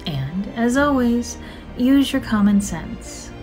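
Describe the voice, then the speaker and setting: A woman narrating a scripted guided meditation in a soothing, measured, gentle manner with drawn-out phrases. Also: a little high, animated. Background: soft music.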